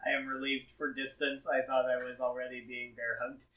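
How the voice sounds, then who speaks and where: Bright-voiced, wry male tabletop player. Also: mid-range voice, completely flat delivery, brisk. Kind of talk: narrating and voicing own character in role-play. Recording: slightly distant mic.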